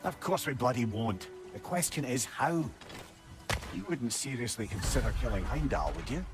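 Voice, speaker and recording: Man playing a wry Scottish old sage, animated delivery. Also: mid-range voice, moderate pace, close to an everyday voice. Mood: concerned. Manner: wordy, wry, colloquial cursing. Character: witty talking severed head of an old Norse sage. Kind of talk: video game dramatic acting. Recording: game music behind the voice.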